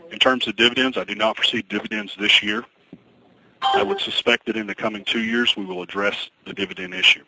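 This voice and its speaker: A low male voice with measured, formal phrasing, fielding investor questions as the company's executive. The delivery is guarded and businesslike. It comes from a conference call recording with clear background noise. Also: fairly even intonation.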